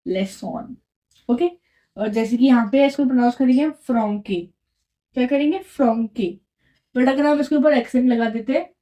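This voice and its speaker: A woman teaches in a patient, repetitive way, pausing often to check that the listener follows. Her voice sits a little high, yet its tone is mellow and unforced. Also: low-quality recording. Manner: patient, repeats and checks in with "right?". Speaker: French teacher. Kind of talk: explaining a lesson at a whiteboard.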